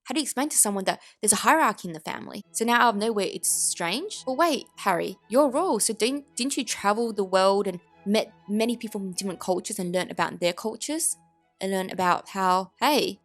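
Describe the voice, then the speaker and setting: A woman's voice: mid-range voice, brisk, soft background music. An Australian woman with an Australian accent, giving blunt, opinionated commentary full of indignant rhetorical questions. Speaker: commentary vlogger.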